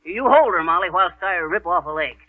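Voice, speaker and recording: An actor playing a folksy, cocky American husband who blusters in slang, in 1930s radio comedy. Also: male voice, high-pitched, lively intonation, fairly quick. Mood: cocky, eager. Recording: tinny, noisy 1930s radio recording.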